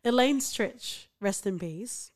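A woman's voice: mid-range voice, expressive, slow. A young woman co-hosting a podcast, chatting casually about a TV show, laughing often and using lots of fillers. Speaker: podcast co-host.

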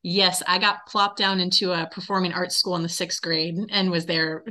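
A woman with an American accent and a clear, bright edge to her voice. She sits slightly low in pitch and talks conversationally, as a guest answering interview questions. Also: flat delivery, normal speed. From North Carolina, United States. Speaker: interview guest, actor and movement teacher.

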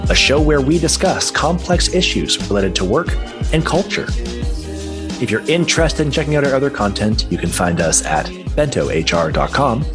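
A man hosting a podcast, reading a scripted introduction and then chatting with his guest. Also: medium pitch. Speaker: podcast host. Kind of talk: podcast interview.

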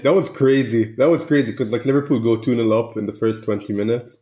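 A man trades excited, joking banter on a casual football podcast. His voice is mellow and dark. It sounds echoey, as if recorded in a bare room. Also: medium pitch, quick pace. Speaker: podcast co-host.

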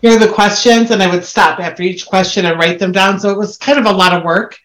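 Woman, discussion participant, casual explaining, mid-range pitch, echoey room. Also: fairly even intonation, fast talker, a bit bright. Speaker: book club participant. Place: indoor room on a group video call. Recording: roomy echo.

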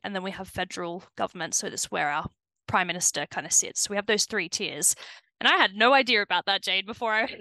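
Chatty aussie woman on a podcast, bright tense voice, dry close mic. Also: mid-range voice, no echo, poor audio. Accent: Australian. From New South Wales, Australia. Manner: chatty, laughs often. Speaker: podcast guest. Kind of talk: casual interview chat.

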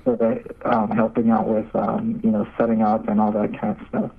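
A man's voice: lowish voice, completely flat delivery, normal speed, creaky, breathy edge, narrow, tinny audio. A young man gives casual, hesitant interview answers as a seminarian guest over a remote call. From Nigeria. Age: young adult.

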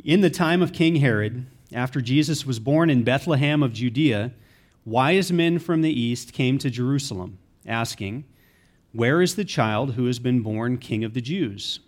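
A man's voice: mid-range voice, lively intonation, normal speed. A man reading a Bible narrative aloud from a written text. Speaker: scripture reader.

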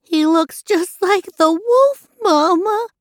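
A woman's voice: high-pitched, big pitch swings, a little slow, dry recording, studio quality, voice pushed up. A woman voicing a small, timid goat kid who whimpers questions in a children's story. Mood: frightened.

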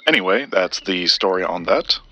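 An actor playing an earnest, guarded collector of occult lore, telling his tale in a measured audio drama style. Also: deep voice, lively intonation, bright, forceful tone, husky, full character voice. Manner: measured, storytelling.

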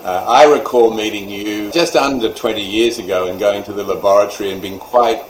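A man's voice: fairly low pitch, steady tone, brisk. Rambling man in an echoey room. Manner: rambling, with many fillers. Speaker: interview guest panelist. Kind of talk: warm tribute remarks. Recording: echoey room with faint noise.

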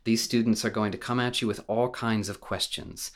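An actor playing a self-assured conservative debater in a radio play, argumentative and instructive in manner. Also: male voice, lowish voice, steady tone, moderate pace, lightly acted. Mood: cocky, coaching. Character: combative, self-assured male conservative debater, coolly superior.

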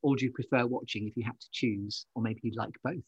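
A man's voice: mid-range voice, fairly even intonation, quick pace, husky, no room sound. A man hosting a conversational interview podcast, encouraging his guest with wordy, circling questions, on a dull lo-fi recording.

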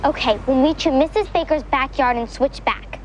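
Young actress playing wisecracking preteen girl, very high voice, scheming, TV sitcom, background noise. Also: brisk, lightly acted. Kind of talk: sitcom acting with live audience. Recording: noisy TV sitcom with studio audience.

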